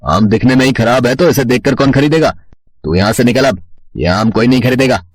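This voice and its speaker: A brusque male market shopkeeper with a husky voice, acted by a man, recorded dry. He is scornful and dismissive, in storybook drama style. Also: medium pitch, brisk, dry recording, lightly acted. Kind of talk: acted dialogue in a moral bedtime story.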